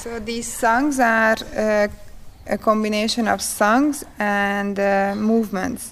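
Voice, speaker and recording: A woman with a Hungarian accent and Hungarian as her first language, leading a folk dance class and answering interview questions in slow, halting speech with frequent pauses. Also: mid-range voice, steady tone.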